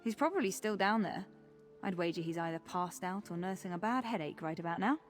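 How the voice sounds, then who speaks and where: An actress playing a brash, amused tavern local in a fantasy video game, chatty, wry and emphatic. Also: female voice, on the low side, animated, fairly quick, faint music behind, natural voice. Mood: amused, boastful. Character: brash, amused female tavern gossip and drinking witness.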